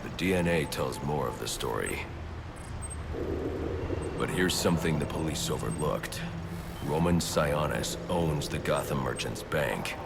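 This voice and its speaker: A man's voice: expressive, measured pace, pauses often, over loud music, exaggerated voice. An actor playing a grim, brooding male vigilante detective in a video game, terse and menacing. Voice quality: gravelly, very deep. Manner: terse, menacing, low and controlled. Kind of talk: video game cutscene acting. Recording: loud score behind voice.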